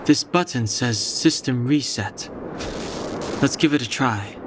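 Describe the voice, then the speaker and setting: Man as calm practical companion. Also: medium pitch, slow, background noise, natural voice. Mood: composed. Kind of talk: scripted game cutscene dialogue.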